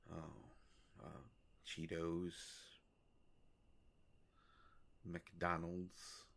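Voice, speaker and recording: A man performs an immortal male vampire with a deep voice full of gravelly fry. He talks intimately to one listener in a roleplay audio, polite and wryly musing. His delivery is very unhurried and halting, with long pauses. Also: exaggerated voice. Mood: musing, hesitant. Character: courteous, world-weary immortal male vampire, gently wry.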